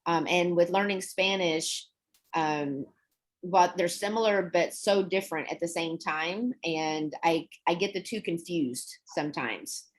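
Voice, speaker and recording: A young adult woman teaching an online English class, explaining words in a chatty, relaxed way and laughing often. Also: lowish voice, a little slow.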